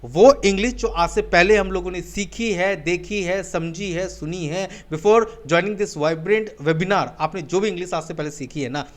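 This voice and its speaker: A man's voice: sits high, measured pace, hardly any pauses. A man with an Indian accent teaching a spoken English webinar. He mixes Hindi and English, asks rhetorical questions and answers them himself, and keeps saying "my dear friend.". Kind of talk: webinar lesson.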